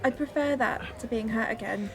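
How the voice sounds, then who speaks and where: A fairly high-pitched woman in a radio drama, played by an actress, teasing and flirty on the surface but confessional and insecure underneath. Music plays clearly behind the voice. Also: normal speed, natural voice. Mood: anxious, apologetic.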